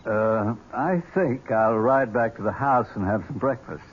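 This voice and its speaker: An actor playing a fond, wry uncle with a teasing, halting manner, in 1970s radio drama style. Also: male voice, medium pitch, natural voice. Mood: flustered, hesitant. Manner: halting, stammering, dryly teasing. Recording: dull, noisy 1970s radio recording.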